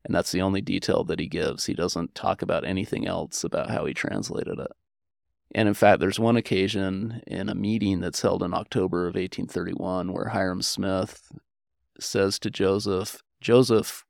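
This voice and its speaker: American male interview guest, historian type. Gravelly low voice, earnest and conversational, dry close sound with faint music beneath. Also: darkish tone, dry recording. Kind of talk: conversational explaining and personal storytelling.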